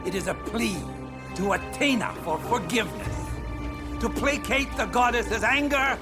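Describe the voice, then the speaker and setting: A man's voice: very high-pitched, measured pace, loud music behind the voice. An actor playing a declamatory man of the ancient world in a video game cutscene. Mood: earnest. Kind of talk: dramatic game cutscene acting.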